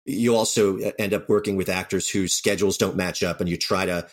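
A man with a deep voice talks through his experiences as an interview guest. He is candid and self-deprecating, speaking conversationally. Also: fairly even intonation, fairly quick. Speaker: writer and audio drama director, interview guest.

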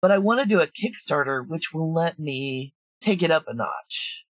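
Female interview guest, practical and informal, husky voice on dry but slightly dull audio. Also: low voice, animated, moderate pace, no room sound. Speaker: audio drama writer and producer, interview guest. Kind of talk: casual interview chat.

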